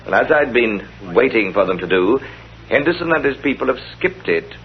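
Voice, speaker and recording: An actor playing a wily, plain-spoken police inspector in a radio drama, matter-of-fact, colloquial and deferential. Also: male voice, medium pitch, lively intonation, normal speed, close to an everyday voice. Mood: sheepish, reporting. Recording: dull old radio recording.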